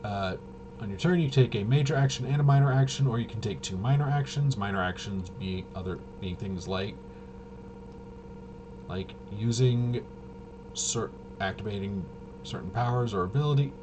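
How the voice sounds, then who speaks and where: Casting: male rules explainer, lowish voice, halting off-the-cuff delivery that trails off, noticeably noisy recording. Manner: halting, trails off and self-corrects. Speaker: host. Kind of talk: casual rambling tabletop game rules walkthrough. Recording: clear steady background noise.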